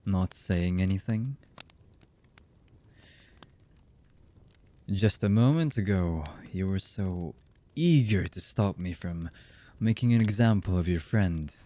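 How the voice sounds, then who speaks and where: A male voice actor playing a cold, menacing, commanding young anime villain in an ASMR roleplay, with a husky voice and a deliberate delivery. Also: low voice, mellow, slight background noise. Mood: mocking menace. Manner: slow, menacing, deliberate with long pauses.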